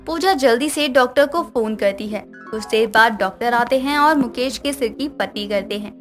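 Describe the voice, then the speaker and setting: High-voiced woman narrating a story. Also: normal speed, fairly bright tone, faint music behind. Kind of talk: scripted moral-story narration.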